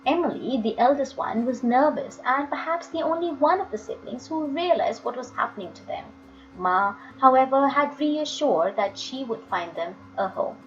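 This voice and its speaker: A woman storyteller reading a children's book aloud with expressive, dramatic, emphatic phrasing. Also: high voice, moderate pace, slight background noise. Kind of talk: expressive children's audiobook narration. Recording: dull lo-fi audio, music underneath.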